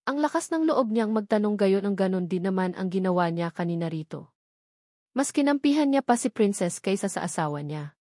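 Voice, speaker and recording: Woman reading out a romance story as narrator, average pitch, dry no echo. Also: expressive, a little fast, darkish tone. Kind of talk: scripted narration of a romance novel.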